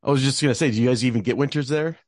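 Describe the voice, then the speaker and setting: A man of medium pitch hosts a casual interview. He asks friendly questions and keeps his replies short. The recording is dry and close. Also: very fast, a little mellow, dry recording. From Minnesota. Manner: friendly, brief, laughs often. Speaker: podcast host.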